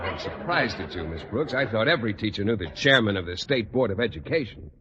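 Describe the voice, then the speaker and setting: An actor playing an earnest, naive, mild-mannered American teacher, polite and hesitant, in a 1940s radio sitcom. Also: male voice, lowish voice, lively intonation, fast, highs cut off, a crowd in the background, natural voice. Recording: noisy, reverberant band-limited 1940s radio recording.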